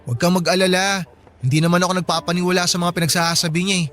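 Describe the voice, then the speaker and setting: Radio drama: loyal younger brother, high male voice, eager and respectful. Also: little pitch movement, rapid-fire, a bit bright. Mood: earnest. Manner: earnest, friendly. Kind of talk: Tagalog radio drama acting. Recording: background music under dialogue.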